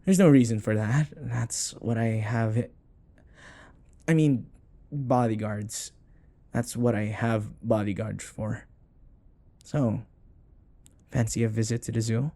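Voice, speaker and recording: A man acting a rich older boyfriend, possessive and sweetly doting, in an intimate romantic audio roleplay, speaking in a soft, hesitant, coaxing murmur. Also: medium pitch, steady tone, slow, long pauses, no echo. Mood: protective, possessive.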